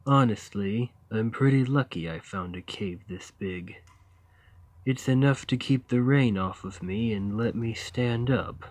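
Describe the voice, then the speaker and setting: A man playing a friendly, naive, awkward giant who lives in a cave, in an ASMR roleplay, speaking in a hesitant, earnest, gently upbeat way. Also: on the low side, a little slow, no echo. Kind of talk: ASMR roleplay, acted monologue to the listener.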